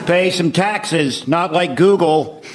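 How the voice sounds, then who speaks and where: A man's voice: a little high, very slow, background noise. A man explaining things conversationally and wryly, with some hesitation, as a comedy panel guest.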